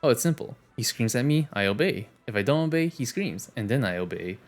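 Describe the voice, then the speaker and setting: A hapless young woman ruled by her dog, voiced by a man in his usual voice. Dry and self-mocking, with quiet game music under it. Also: medium pitch, lively intonation, a little fast, close to an everyday voice. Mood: weary.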